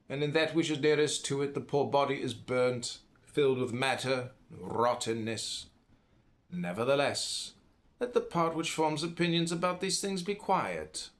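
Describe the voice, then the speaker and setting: Man reading philosophy aloud with deliberate emphasis. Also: mid-range voice. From United States. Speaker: audiobook reader. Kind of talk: read-aloud philosophy narration.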